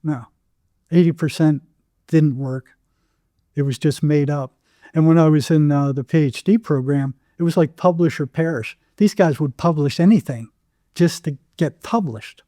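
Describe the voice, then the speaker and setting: A man's voice: on the high side, moderate pace, dark tone, no room sound, studio quality. An older American man telling anecdotes casually as an interview guest, acting out bits of dialogue as he goes.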